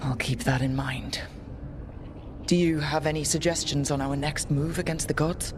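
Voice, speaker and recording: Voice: medium pitch, fairly even intonation, moderate pace, natural voice. Game role: earnest leader carrying heavy responsibility , acted. Mid-range voice, subdued and direct, with noisy ambience behind. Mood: questioning, earnest. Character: earnest, burdened young team leader fighting the gods. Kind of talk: dramatic video game dialogue.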